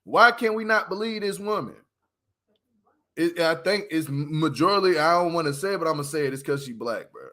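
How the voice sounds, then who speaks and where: A man with a high, forceful voice hosts a casual livestream. He gives his opinions emphatically, leaning on slang and repeating his points to drive them home. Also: expressive, a little fast. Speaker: livestream host.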